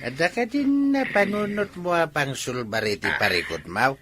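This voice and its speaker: An actor with a Filipino Ilocano accent playing an easygoing, scheming drinking buddy in a radio drama. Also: male voice, fairly high pitch, animated, normal speed, background noise, lightly acted. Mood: sly.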